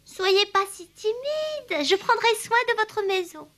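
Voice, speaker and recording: An actress voicing a sweet, polite, innocent young kitten heroine in a cartoon dub. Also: female voice, very high voice, big pitch swings, a little slow, pushed and bright, exaggerated voice. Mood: gentle. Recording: music clearly behind the voice.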